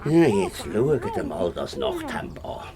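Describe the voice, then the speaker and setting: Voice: low voice, big pitch swings, measured pace, a crowd in the background. An actor playing a greedy, gloating big bad wolf in a children's radio play, grumbling to himself. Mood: pleased with himself.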